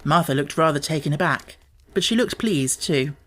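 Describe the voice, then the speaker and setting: A man narrating a children's novel as an audiobook in a measured, clearly phrased way. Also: on the high side, expressive, sharp tone. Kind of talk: scripted storybook narration.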